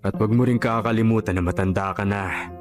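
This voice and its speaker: An actor playing a frank, concerned vendor friend in Filipino radio drama style, blunt, earnest and admonishing. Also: male voice, on the low side, steady tone, a little fast, music in the background, lightly acted. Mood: blunt, insistent.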